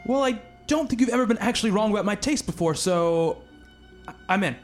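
Casting: male actor as bookish, devoted gentleman doctor, high-pitched voice, measured storyteller, radio drama over music. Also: expressive. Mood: hesitant, affable. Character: earnest, bookish male doctor, devoted fiancé narrating his tale. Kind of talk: radio play narration and dialogue.

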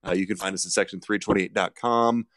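A man talks as a podcast guest in a relaxed, rambling way with many fillers. His voice sits fairly low. The recording is dry and close. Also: fast, dry recording. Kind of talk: casual chat.

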